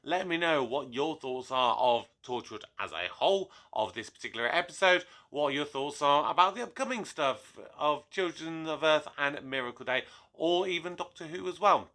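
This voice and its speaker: A young man chatting to camera in a casual solo review, slightly hoarse from a cold, animated and full of asides. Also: fairly high pitch, normal speed, few pauses. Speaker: YouTube reviewer.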